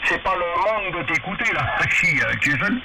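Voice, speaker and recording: A man's voice: sits high, lively intonation, brisk. A man speaking as an amateur radio operator, chatting informally over a two-way radio with hesitant, repetitive phrasing. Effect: two-way radio transmission. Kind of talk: casual radio chat. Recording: very noisy two-way radio reception.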